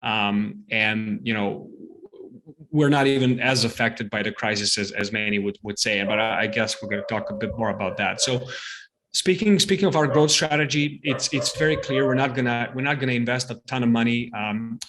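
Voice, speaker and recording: A man's voice: medium pitch, fairly even intonation, fairly quick, noisy background. A middle-aged man from the Serbia region, a startup CEO, talks casually in a podcast interview. He stammers through repeated words and many fillers. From Serbia, Balkans region.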